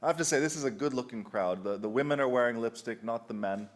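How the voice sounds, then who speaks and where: A man, giving a conference presentation in a relaxed, conversational way with dry humor and frequent restarts. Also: mid-range voice, fast talker. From United Kingdom. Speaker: conference speaker. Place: conference hall.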